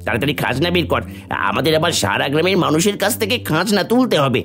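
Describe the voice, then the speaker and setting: A man playing a bullying rent-collecting henchman in an animated folk tale, threatening and impatient. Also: fairly high pitch, fast.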